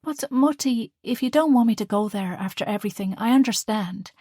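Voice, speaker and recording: A woman's voice: a little high, brisk, dark tone, no echo, clean studio sound, voice pushed up, close to an everyday voice. Woman voicing an earnest young daughter. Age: young adult. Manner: earnest, hesitant. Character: young university student daughter, earnest and nervous.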